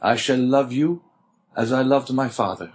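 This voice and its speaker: A man's voice: mid-range voice, measured pace, a little hiss or hum, voice pushed up, close to an everyday voice. A man voicing a desperate young male prisoner, pleading and emotional, in an audiobook reading.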